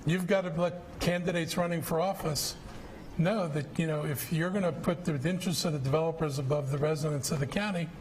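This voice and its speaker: A man's voice: a little high, fairly even intonation, brisk, a little mellow, slight background noise, rough recording. A middle-aged man at a public budget forum, answering questions in a blunt, combative, plain-spoken way. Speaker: county executive answering questions.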